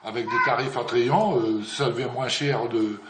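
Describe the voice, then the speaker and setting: An older man answering interview questions casually, hesitant and full of pauses. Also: mid-range voice, steady tone, a bit bright, reverberant, a little hiss or hum. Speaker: retiree being interviewed.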